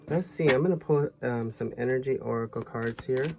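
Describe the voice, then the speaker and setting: A man giving a tarot reading to viewers in a soft, musing way, his voice a little hoarse. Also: medium pitch, normal speed, a little hiss or hum. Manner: soft, musing, thinks aloud with fillers. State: slightly hoarse, losing his voice. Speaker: tarot reader.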